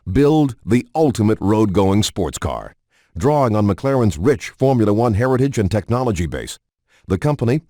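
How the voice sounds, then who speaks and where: A man reading a scripted documentary narration in a measured, polished announcer delivery. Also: on the low side, dark tone, dry recording, clean studio sound.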